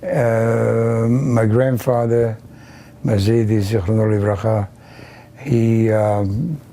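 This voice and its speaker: An older man from the Carpathian region, Yiddish his first language, recalling his childhood slowly with many pauses and restarts in an oral history interview. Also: lowish voice, level tone, dark tone. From Carpathian region of Czechoslovakia. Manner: slow, halting, many ums and restarts.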